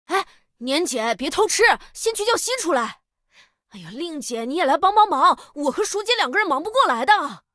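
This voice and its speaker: A cheerful young game character with a very high, bright voice. The delivery is bubbly and swings all over, like a busy youngster hosting family. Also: female voice, brisk, no echo, studio quality. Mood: bustling, cheerful. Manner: bubbly, animated. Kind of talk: scripted game character greeting.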